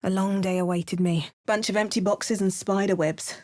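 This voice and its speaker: A woman's voice: medium pitch, fairly even intonation, normal speed, a little mellow, no echo. A woman delivering a game hero's lines with dry, understated wit and matter-of-fact narration. Her voice sits mid-range and sounds close and dead-dry, with no room around it. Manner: wry, understated asides and narration. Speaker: video game player character.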